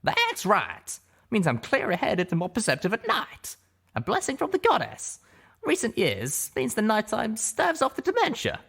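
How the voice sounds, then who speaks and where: A man's voice: sits high, wildly swooping pitch, normal speed, gravelly, a bit bright, slightly rough, voice pushed up, full character voice. A man voicing a blunt, forgetful elderly stallion with rustic, folksy speech in an audiobook. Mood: unashamed. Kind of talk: audiobook character voice.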